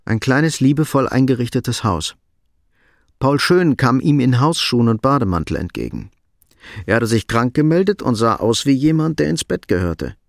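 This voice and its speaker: A man narrating a crime radio drama in a measured, matter-of-fact scripted style. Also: mid-range voice, big pitch swings, gravelly, no echo, clean studio sound.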